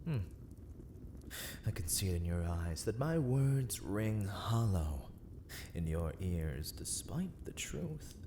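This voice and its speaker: Man acting as haughty vampire castle lord, low voice, formal archaic speech, dark ASMR roleplay, ambient noise behind. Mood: cold menace. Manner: formal, archaic, slow and deliberate threats.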